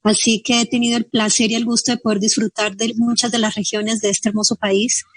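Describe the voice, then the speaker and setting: A woman's voice: a little high, flat delivery, brisk, no room sound. A woman with an Ecuadorian Spanish accent, a guest explaining figures at length in an interview. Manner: fluent, explanatory, cites figures. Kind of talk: interview answer.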